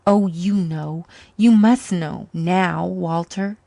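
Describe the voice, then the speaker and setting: A woman's voice: slow, creaky, mellow, no room sound. A woman voicing a heartbroken young woman who pleads in a tearful voice. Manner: tearful, pleading, raised pitch.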